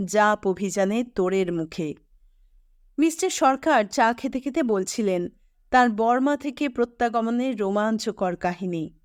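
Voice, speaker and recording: Female audiobook narrator, higher register, unhurried delivery, dry studio-like sound. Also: no echo. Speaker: storyteller reading fiction aloud. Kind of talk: scripted audiobook narration of a literary story.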